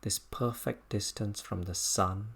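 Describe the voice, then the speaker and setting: Meditation guide, low pitch, very slow delivery, contemplative, leaving space between short phrases. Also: fairly even intonation, vocal fry, fairly bright tone, breathy edge. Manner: unhurried, contemplative, halting phrases. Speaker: meditation teacher. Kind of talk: guided body scan meditation.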